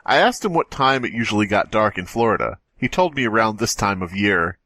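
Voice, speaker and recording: A man's voice: fairly low pitch, lively intonation, fairly quick, pushed and bright, dry recording. A man co-hosting a podcast, joking casually and reading a story aloud in a playful, sardonic way. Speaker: podcast co-host.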